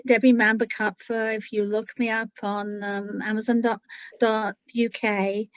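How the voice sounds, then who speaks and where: Slow, high-voiced woman storyteller. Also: no room sound, lo-fi sound. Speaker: children's author, guest. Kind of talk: read-aloud storytelling and informal talk.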